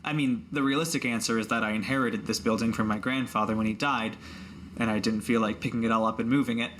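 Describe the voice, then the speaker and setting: A game master playing a plain-spoken, considerate innkeeper in a tabletop game, frank and measured. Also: mid-range voice, fairly even intonation, a bit bright, natural voice. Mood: matter-of-fact.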